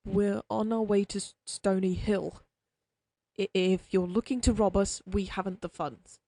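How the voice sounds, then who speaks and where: A woman's voice: medium pitch, fairly even intonation, measured pace, dark tone, no echo. A woman voicing a timid male lawyer who stammers and pleads nervously. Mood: frightened pleading.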